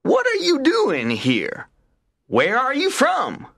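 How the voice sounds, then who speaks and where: A man voicing an excitable, friendly male rabbit in a storybook, with a strained voice and exaggerated, drawn-out exclamations. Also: very high-pitched, vocal fry, pitched above the performer's own voice, heavily acted. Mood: cheery, curious. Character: friendly male rabbit, excitable, indignant, pleading when in trouble. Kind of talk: storybook character voice.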